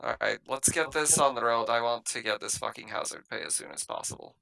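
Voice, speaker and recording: A man's voice: medium pitch, a little fast, gravelly, bright, forceful tone, poor audio. A man playing a wary, brash female mercenary in a sci-fi tabletop game, talking bluntly and casually. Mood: brisk, blunt.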